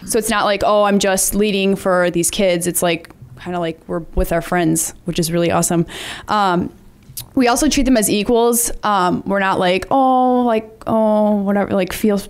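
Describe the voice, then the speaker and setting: A woman's voice: mid-range voice, normal speed. Chatty teenage girl full of ums. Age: teens. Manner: chatty, many ums and likes; says she has a loud voice. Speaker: student PE leader. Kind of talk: presentation to a school board.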